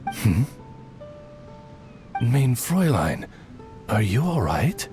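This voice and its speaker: A man's voice: mid-range voice, sing-song, extremely slow, over music. A man voicing a dutiful raven familiar in a video game, with formal, deferential, butler-like speech. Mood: solicitous. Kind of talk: video game character acting.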